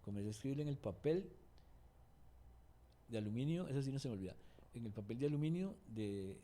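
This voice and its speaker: Fast-talking older man from Cuba telling stories. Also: mid-range voice, expressive, warm tone. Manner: rambling storyteller with many restarts. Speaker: guest singer-songwriter. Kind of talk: anecdotal interview talk.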